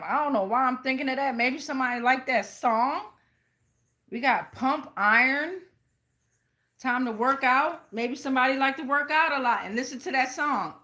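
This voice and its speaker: A woman's voice: sits high, pushed and bright. An American woman giving a casual live tarot reading in a slangy, profane, rambling way. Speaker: tarot reader, channel host.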